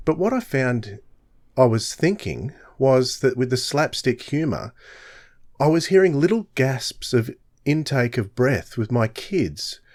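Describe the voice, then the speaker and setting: A man's voice: mid-range voice, a little mellow. A man hosting a podcast, chatting informally and leading into questions in a playful, roundabout way. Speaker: podcast host, interviewer. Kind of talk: casual chat, informal questioning.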